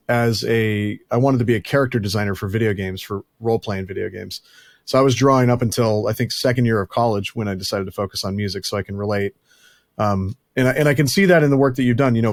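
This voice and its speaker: A man's voice: medium pitch, no echo. A man hosting a podcast who talks in a rambling, chatty, self-deprecating way. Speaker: podcast host. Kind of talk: casual podcast chat.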